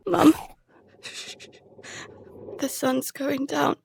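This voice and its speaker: An actress playing a terrified girl who narrates a survival horror audio drama in halting, fragmented phrases. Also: high voice, fairly even intonation, vocal fry, slight background noise, full character voice. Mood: panicked. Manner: halting, fragmented, hushed dread breaking into pleading.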